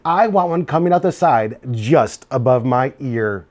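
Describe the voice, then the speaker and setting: A stubborn young schoolgirl from a storybook, read by a man at his own average pitch. She is defiant and emphatic, dragging out her refusals. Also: expressive, darkish tone. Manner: emphatic, stuttered drawn-out refusals.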